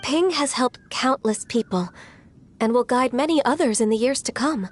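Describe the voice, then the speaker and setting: A woman voicing a gentle, earnest young woman in a video game, speaking in a polite, sincere way. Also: a little high, normal speed, natural voice. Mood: earnest, consoling.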